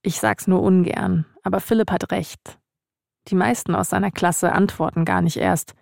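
A woman's voice: fairly low pitch, steady tone, normal speed, creaky, darkish tone, no echo, studio quality. A woman narrating a podcast from a script in a wry way, as its host. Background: music bed.

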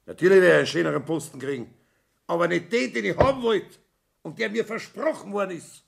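A man playing an aggrieved, blustering company employee with a Bavarian accent, grumbling loudly in a radio drama. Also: high-pitched, fairly quick, vocal fry, exaggerated voice. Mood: indignant. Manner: loud, grumbling.

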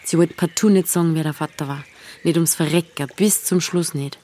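A woman's voice: low-pitched, fairly even intonation, brisk, mellow. An actress playing a blunt, earthy young village woman with a Bavarian accent in a radio drama, dry and plain-spoken. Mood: reflective, blunt. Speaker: lead actor and narrator. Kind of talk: radio drama narration and dialogue.

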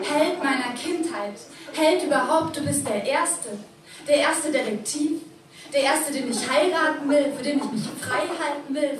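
A woman performing poetry slam on stage, declaiming emphatically with dramatic pauses. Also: high-pitched. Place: live venue. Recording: very echoey, distant, noisy live stage.

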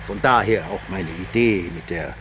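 An actor playing a coolly calculating male security consultant with a measured, persuasive manner in a radio drama. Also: sits low, fairly bright tone, slight background noise. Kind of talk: radio drama narration and dialogue.

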